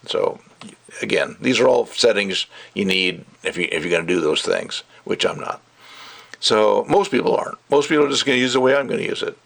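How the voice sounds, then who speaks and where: A man's voice: on the low side, a little fast, creaky, fairly bright tone. A man giving a casual, rambling product review in an opinionated, emphatic way with conversational asides. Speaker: gadget reviewer.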